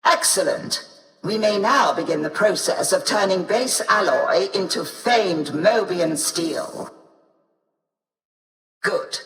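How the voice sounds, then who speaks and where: An actor playing a grandiose, devout machine-cult priest in a video game, speaking in a haughty, ceremonial, archaic way. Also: male voice, high voice, animated, takes it slowly, fairly bright tone, heavily acted. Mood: reverent. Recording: distant, echoey hall reverb.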